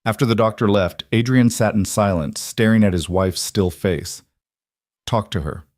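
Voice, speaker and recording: A man's voice: on the low side, moderate pace, darkish tone, no room sound, studio quality. A man reading scripted, dramatic story narration in the manner of an audiobook.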